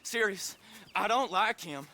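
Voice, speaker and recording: A man's voice: high-pitched, animated, measured pace, bright, forceful tone, exaggerated voice. An actor playing a frightened young male outlaw held captive in a video game, pleading and nervous.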